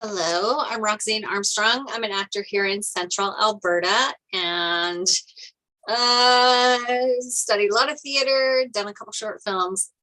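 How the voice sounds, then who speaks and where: A woman's voice: medium pitch, measured pace, sharp tone, low-quality recording. A woman, an actor casually introducing herself. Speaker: actor, table read narrator.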